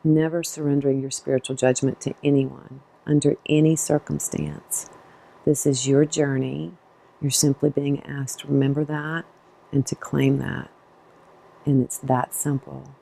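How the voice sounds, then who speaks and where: Female meditation teacher, notably low and warm tone, gentle off-the-cuff instruction, reassuring delivery. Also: little pitch movement, a little slow, vocal fry, poor audio.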